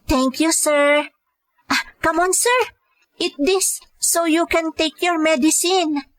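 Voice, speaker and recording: A woman's voice: very high voice, slow pace, a bit bright, dry recording, full character voice. A woman with a Filipino accent playing a patient, dutiful young caregiver working abroad, in earnest, polite scripted drama. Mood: polite, caring. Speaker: voice actor in an audio drama. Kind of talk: scripted drama dialogue and narration.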